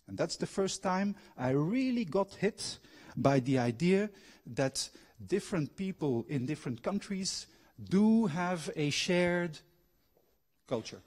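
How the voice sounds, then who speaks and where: A man's voice: on the high side, big pitch swings, measured pace, darkish tone, slightly rough. A middle-aged man giving a public lecture with personal anecdotes, engaging his audience with questions. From Belgian, grew up abroad. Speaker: guest lecturer, former factory manager and trained coach. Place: university lecture hall.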